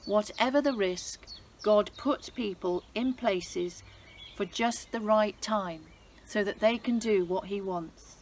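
A man's voice: high voice, takes it slowly, slight background noise. A woman voicing an earnest older uncle who pleads insistently in a read-aloud Bible story. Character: urgent older male uncle, earnest and devout.

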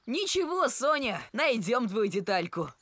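Casting: brash little boy kitten superhero, kids' cartoon, high, animated pitch, cheerful and impatient. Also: a little slow, dry recording, exaggerated voice. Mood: confident. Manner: brash, exclamatory. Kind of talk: children's cartoon voice acting. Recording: loud music behind.

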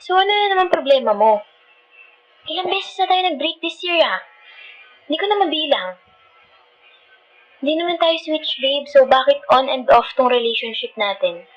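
A woman's voice: sits high, expressive, moderate pace, long pauses, a bit bright, dull audio, faint music behind. A woman with a Filipino accent playing a feisty, dramatic but loving girlfriend in an ASMR roleplay, sassy and mixing two languages as she talks. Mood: exasperated, scolding. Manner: sassy, scolding, switching between Tagalog and English.

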